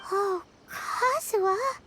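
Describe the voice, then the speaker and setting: A woman's voice: very high voice, slow, very noisy. A woman voicing a tiny, earnest fairy companion in an anime game, with a cutesy childlike delivery. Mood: touched.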